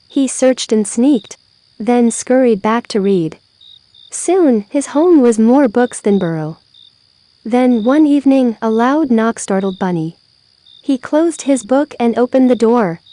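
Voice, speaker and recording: Higher-voiced woman reading a storybook, softly toned. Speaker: children's story reader. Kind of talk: scripted storybook narration read aloud.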